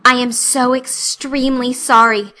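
A woman's voice: sits high, flat delivery, a little slow, pushed and bright. A woman voicing a dramatic, eager young orphan girl who pleads in a breathless, imploring way. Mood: pleading.

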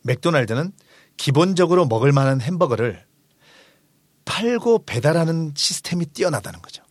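Animated man in his forties, talk show host. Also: on the high side, normal speed, no room sound. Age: 40s. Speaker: host of a book discussion show; restaurant owner. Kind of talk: conversational book summary and discussion.

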